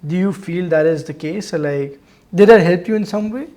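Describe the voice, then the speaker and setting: A man's voice: high-pitched, normal speed, darkish tone. A man hosting a founder interview podcast, asking questions in an upbeat, casual way. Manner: upbeat, friendly, casual phrasing. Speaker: podcast host.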